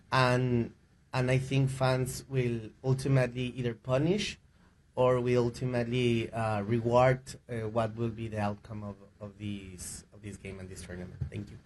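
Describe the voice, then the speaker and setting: A man's voice: mid-range voice, darkish tone. An earnest man from Ecuador speaks at a news conference in a mid-range voice with a faint hiss behind him. He often restarts and repeats words while he reaches for the right phrasing. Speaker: rights advocate. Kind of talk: press conference statement.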